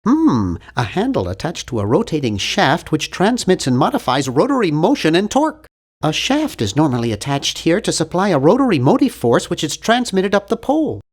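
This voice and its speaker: A man's voice: fairly high pitch, huge pitch swings, normal speed, breathy edge, full character voice. A man voicing a timid, bookish young nerd in a cartoon adventure game, speaking in a pedantic, fussy way. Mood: fussy, doubtful. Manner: pedantic, prim, fussy delivery.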